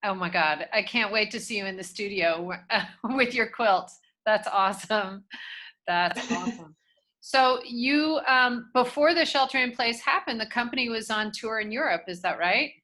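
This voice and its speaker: A woman's voice: on the high side, lively intonation, moderate pace, sharp tone. A woman interviewing a dancer, asking questions and reacting with encouraging enthusiasm.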